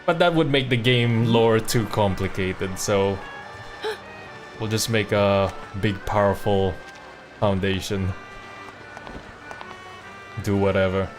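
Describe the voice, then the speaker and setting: A man's voice: lowish voice, takes it slowly, pauses often. A man livestreaming a game, giving casual, rambling commentary in an informal way. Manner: rambling, informal, mildly profane. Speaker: streamer. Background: game music.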